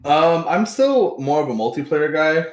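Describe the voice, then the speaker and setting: A man's voice: very animated, normal speed, echoey room. A man chatting casually as a podcast guest about gaming and family, joking and acting out quoted voices. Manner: joking, acts out quoted voices with big pitch jumps. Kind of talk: casual chat.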